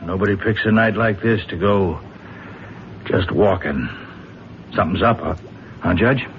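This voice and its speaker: An actor playing a chummy, talkative newspaper columnist with a folksy, stammering manner, in 1970s radio drama style. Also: male voice, low-pitched, unhurried, vocal fry, dull audio. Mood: jovial, chummy. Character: chummy, talkative male newspaper columnist, nervous under threat. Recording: slightly hissy, band-limited old radio recording.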